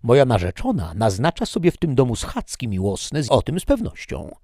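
A man's voice: deep voice, a little slow, warm tone, no echo, studio quality. A man voicing a proud, jealous nobleman who speaks haughtily and insistently. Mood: indignant.

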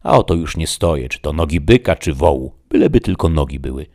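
Storybook squire, played by a man: hungry, blunt commoner, loud and emphatic, gravelly, very lively intonation. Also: very deep voice, fairly quick, heavily acted. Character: blunt, hungry peasant squire, hearty and impatient.

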